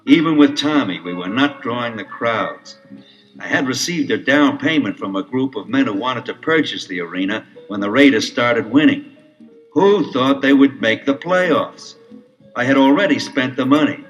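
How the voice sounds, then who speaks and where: An actor playing a scheming adult crook, menacing yet reluctant, in a melodramatic audio adventure. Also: male voice, mid-range voice, lively intonation, normal speed, lo-fi sound, close to an everyday voice. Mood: confessing. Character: menacing but reluctant scheming adult male crook, sports-team owner. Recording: 1970s LP, slightly distant, faint music.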